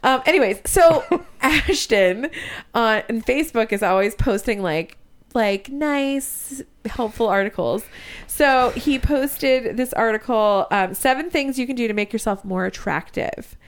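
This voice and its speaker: A woman co-hosts a comedic podcast in a casual, chatty way. Her voice sits fairly high and swings widely in pitch. She is giggly and easily slips into laughter. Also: expressive, a little slow. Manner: giggly, often breaking into laughter. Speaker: podcast co-host.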